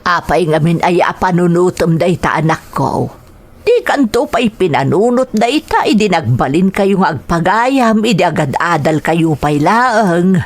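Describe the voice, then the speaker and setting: A woman playing a gently reassuring mother of a grown son in an audio drama, speaking in a soothing, motherly way. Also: lowish voice, sing-song, measured pace. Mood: consoling. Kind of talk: dramatized family radio-style drama.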